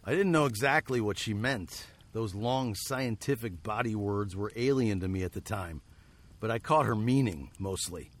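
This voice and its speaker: An actor playing a wary, lovelorn fugitive of the old American West in a radio drama, speaking in an earnest, questioning manner. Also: male voice, fairly low pitch, moderate pace, natural voice. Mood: reflective. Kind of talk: radio drama acting with narration.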